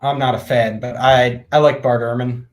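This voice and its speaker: A twenty-year-old man co-hosting a casual Q&A, teasing his friend in a deadpan, mock-serious way. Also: mid-range voice, moderate pace. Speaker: co-host and regular guest. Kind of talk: casual chat.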